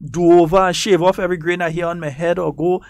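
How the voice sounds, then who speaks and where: A man's voice: high voice, fairly even intonation, quick pace, a little mellow, dry recording. Trinidadian grenadian accent, male host, emphatic, defiant, dry studio sound. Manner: emphatic, defiant, repeats for effect, chuckles. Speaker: talk show host. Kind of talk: studio political talk. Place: radio studio.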